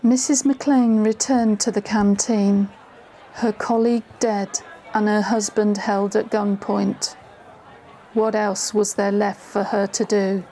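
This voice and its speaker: An actress playing a woman who narrates events she witnessed, in measured, suspenseful audio drama style. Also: mid-range voice, little pitch movement, mellow, a little hiss or hum, natural voice. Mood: suspenseful narration. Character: female storyteller recalling a tense witnessed drama. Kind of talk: scripted first-person drama narration.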